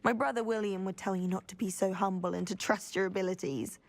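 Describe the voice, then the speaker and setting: A woman's voice: mid-range voice, steady tone, quick pace, lightly acted. An actress voicing a friendly, forthright teenage schoolgirl in a video game. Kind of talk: video game dialogue acting.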